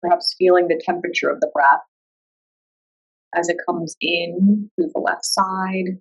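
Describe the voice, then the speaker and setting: A woman guiding a meditation in a calm, soothing, unhurried way, leaving long pauses between instructions. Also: fairly low pitch, lo-fi sound. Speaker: yoga nidra meditation guide. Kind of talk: guided meditation.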